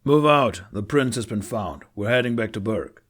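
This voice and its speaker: A man's voice: fairly low pitch, lively intonation, normal speed, a bit bright. A man voicing a stern, suspicious knight commander with a clipped, commanding manner in a fantasy audiobook.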